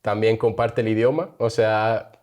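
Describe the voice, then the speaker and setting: A man's voice: fairly low pitch, steady tone, a little slow, dull audio. A young man from Spain, chatting casually as an interview guest and laughing often. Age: young adult.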